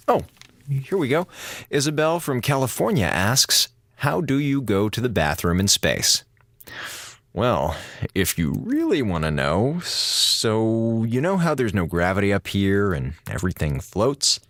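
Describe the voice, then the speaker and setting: A man's voice: fairly low pitch, sing-song, unhurried, no echo, full character voice. An American man playing a sarcastic, grumbling space station communications officer, flippant and exasperated, delivering a radio drama monologue. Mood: mock grave. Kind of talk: audio drama monologue, mock radio address to schoolchildren.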